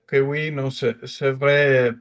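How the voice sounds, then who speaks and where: A young Mexican man speaking French with a Mexican Spanish accent, a photographer answering interview questions with hesitant pauses and fillers. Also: mid-range voice, flat delivery. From northern Mexico. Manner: hesitant, many fillers, slight grammar slips. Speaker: guest photographer. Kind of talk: casual interview talk.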